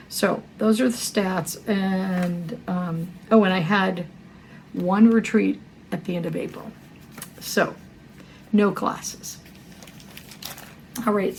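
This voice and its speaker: Scratchy-voiced woman chatting casually. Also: medium pitch. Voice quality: scratchy, gravelly voice. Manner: rambling, many fillers and self-corrections. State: hoarse from a cold. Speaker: cross-stitch vlogger. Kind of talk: casual chat to camera.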